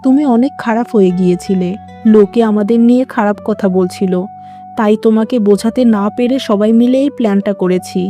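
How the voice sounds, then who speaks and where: A woman's voice: medium pitch, brisk, mellow, faint music behind, lightly acted. A woman voicing a stern older mother-in-law with a firm, admonishing manner in a cartoon. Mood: firm, explaining. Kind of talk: Bengali moral-story cartoon dubbing.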